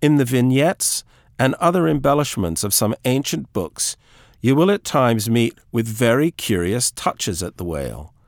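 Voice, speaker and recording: A man narrates literary prose as an audiobook reader, with formal, carefully measured phrasing. His mid-pitched voice moves through wide, lively swings of intonation. The recording is close and dry, with no room sound around the words. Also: no echo. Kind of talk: scripted literary narration, read aloud.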